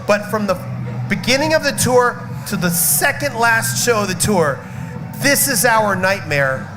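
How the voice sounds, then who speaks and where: Male rock singer, slightly sick, outspoken, high-pitched, loud festival music behind. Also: animated, unhurried. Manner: outspoken, emphatic, swears. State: slight cough and cold. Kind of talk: casual festival chat. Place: festival backstage area.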